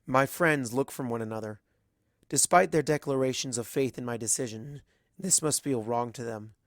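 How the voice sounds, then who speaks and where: A narrator reading fan fiction aloud as expressive scripted story narration. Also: medium pitch, normal speed, dry recording, clean studio sound.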